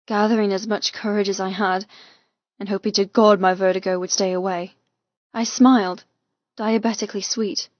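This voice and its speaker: A woman reading a first-person romance audiobook with intense, expressive, dramatic delivery. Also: medium pitch, moderate pace, no echo, muffled recording. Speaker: audiobook narrator.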